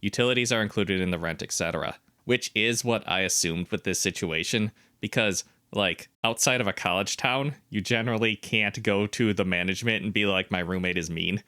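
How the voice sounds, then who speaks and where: A man's voice: on the low side, fairly quick, hardly any pauses, sharp tone, dry recording, studio quality. A man co-hosting a podcast, reading comments aloud and asking pointed clarifying questions in casual chat. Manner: inquisitive, asks clarifying questions, opinionated. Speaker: podcast co-host.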